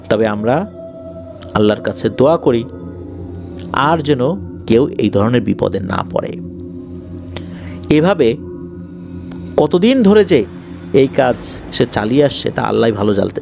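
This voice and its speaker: Bangladeshi man telling horror stories, animated pitch. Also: creaky. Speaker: horror story show host and narrator. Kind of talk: dramatic horror storytelling addressed to listeners.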